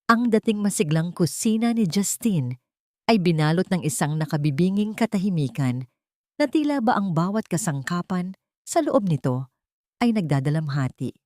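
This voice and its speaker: A woman's voice: on the low side, expressive, moderate pace, warm tone, no echo, clean studio sound. A woman reading a scripted dramatic story as narrator, in a solemn, melodramatic manner.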